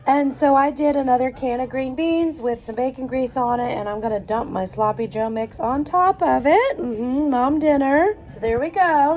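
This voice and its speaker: A hoarse middle-aged woman, a mother vlogging casual commentary while cooking in a hotel room on her handheld phone. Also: sits high, darkish tone. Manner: chatty, upbeat running commentary. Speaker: vlogger, mother of a large family.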